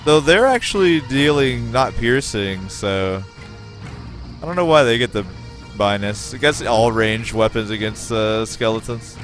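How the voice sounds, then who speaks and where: An American man streaming a game, giving excitable, profane play-by-play and chatting with viewers. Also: mid-range voice, measured pace, soft background music. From United States. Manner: excitable, profane, talks to chat. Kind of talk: casual gameplay commentary.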